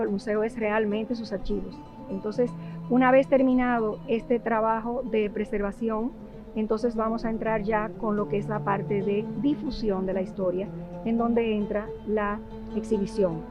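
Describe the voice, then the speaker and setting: A Dominican woman with a Dominican Spanish accent, answering in a documentary interview. She speaks thoughtfully and often pauses on filler sounds while she searches for words. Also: mid-range voice, lo-fi sound. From Dominican Republic. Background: background music.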